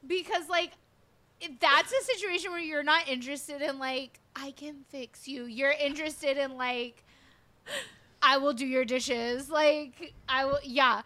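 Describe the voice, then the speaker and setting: A woman co-hosting a podcast, chatting casually about a series in an animated, gushing way. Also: high voice, measured pace. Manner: animated, gushing, many fillers and acted asides. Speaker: podcast co-host. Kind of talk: casual chat reviewing a series.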